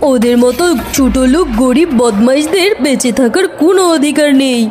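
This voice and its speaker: A woman's voice: high voice, expressive, a little fast, darkish tone, faint music behind. A woman playing a cruel, haughty tigress wife in a cartoon, scolding and threatening in an exclamatory way. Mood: alarmed, callous. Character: cruel, haughty tigress wife guarding her mango tree. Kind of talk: dramatic cartoon voice acting.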